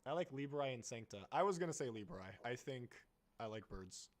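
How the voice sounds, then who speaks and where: Male streamer, animated pitch, crude casual chatter, quick to laugh. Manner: loose, profane banter with frequent laughs. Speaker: streamer co-host. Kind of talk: casual gaming stream chat.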